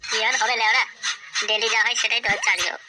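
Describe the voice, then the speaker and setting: A woman's voice: fairly high pitch, fast talker, bright, forceful tone, slight background noise, low-quality recording. A woman with a Bengali accent voicing a foul-mouthed, scheming young slacker in a comedy cartoon, crude, slangy and boastful. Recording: music bed under the voice.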